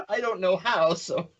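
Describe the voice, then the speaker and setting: A man's voice: sits high, a bit bright. A man in casual, joking conversation as a show host, heard on a slightly dull, lo-fi recording. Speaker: host of an audio drama review.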